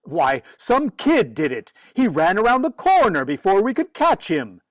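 A woman's voice: medium pitch, sing-song, measured pace, no room sound. A woman playing an exasperated, easily flustered father in an old-time radio comedy.

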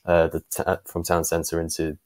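A man's voice: low-pitched, completely flat delivery, normal speed, darkish tone. A man co-hosting a casual fan podcast over a video call, thinking aloud with plenty of ums. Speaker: podcast co-host. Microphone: video call with earphones.

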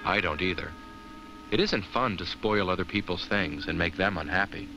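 Friendly low-voiced talking pumpkin. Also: male voice, moderate pace, pushed and bright, slight background noise, low-quality recording. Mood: earnest. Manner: earnest, reassuring, simply explaining to children. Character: friendly talking Halloween jack-o'-lantern, kindly and earnest. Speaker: narrator. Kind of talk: scripted children's educational film narration.

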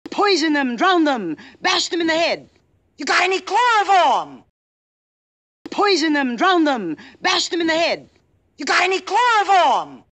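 A woman playing a callous, impatient villainess in an animated film, barking blunt orders. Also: very high-pitched, measured pace, heavily acted. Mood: vicious, impatient.

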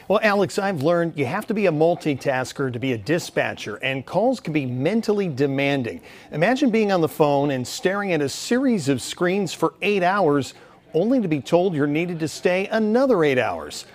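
A man's voice: fairly high pitch, sing-song, moderate pace. An American man in his thirties delivering a live TV news report from a newsroom. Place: TV station newsroom.

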